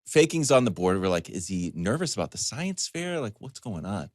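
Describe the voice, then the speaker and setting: A man in his forties, a sports talk host, telling a comedic story in a casual, animated way with choppy asides and acted-out quotes. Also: lowish voice, normal speed, no room sound, clean studio sound. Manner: animated, acts out quotes in dialogue.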